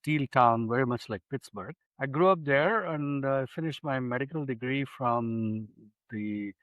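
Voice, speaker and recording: A man in his sixties from India, a physician guest telling his story in an unhurried, reflective way in a studio interview. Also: medium pitch, fairly bright tone, dry recording, poor audio. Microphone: studio microphone.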